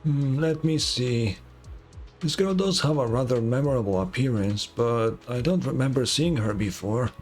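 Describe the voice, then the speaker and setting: A man's voice: medium pitch, animated, moderate pace, a little mellow, faint music behind, natural voice. A man voicing a stern, scolding old lighthouse keeper from a video game. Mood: suspicious, advising. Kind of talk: reading game dialogue aloud.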